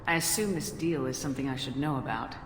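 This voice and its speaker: An actress playing a steady, no-nonsense military space commander in a video game, direct and businesslike. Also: female voice, rumbling low, fast. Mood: curt, inquiring. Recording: echoey, reverberant, clear background noise.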